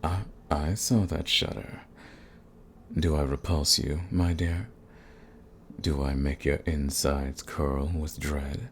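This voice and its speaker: A man playing a suave, sadistic vampire lord in an ASMR roleplay, courtly and menacing, with a gravelly, husky voice. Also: a bass voice, steady tone, a little hiss or hum, heavily acted. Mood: mocking, menacing. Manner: courtly, purring, slow and menacing. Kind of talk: ASMR roleplay, scripted monologue to the listener.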